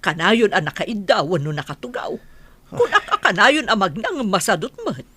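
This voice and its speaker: A woman's voice: medium pitch, sing-song, sharp tone, slightly rough, dry recording, full character voice. A woman playing an anxious, nagging older village mother in an Ilocano radio drama, with an Ilocano accent and plenty of exclamations. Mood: fretful, chiding. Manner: nagging, exclamatory, quick to interject. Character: anxious, gossipy older village mother fretting over her daughter.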